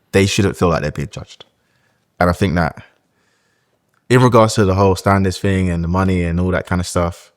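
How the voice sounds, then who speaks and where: Man, young adult, low-ish voice, candid, hesitant, restarts a lot. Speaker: guest. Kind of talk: casual podcast chat.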